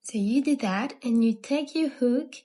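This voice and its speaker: A woman's voice: a little high, measured pace, dark tone, no echo. A young woman with a French accent, a native French speaker, hosting a casual crochet tutorial with many fillers and restarts. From France. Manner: hesitant, many fillers and restarts, laughs at herself.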